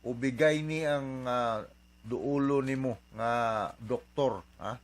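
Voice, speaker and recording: A man's voice: medium pitch, slow pace. A man with a Cebuano accent, a doctor hosting an advice program, talking informally with English mixed in and frequent questioning tags. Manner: informal, conversational, often tags phrases with questioning interjections. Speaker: radio advice host, doctor and psychiatrist. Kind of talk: radio advice talk mixing in English.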